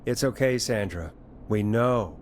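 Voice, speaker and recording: Lively, reassuring radio DJ. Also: male voice, lowish voice, a little slow, a little hiss or hum. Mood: gentle, probing. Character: smooth-talking, gentle male radio DJ. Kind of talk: video game voice acting.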